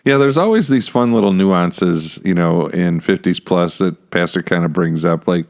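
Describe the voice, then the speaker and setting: A man's voice: sits low, normal speed. A man talking informally in a casual group chat.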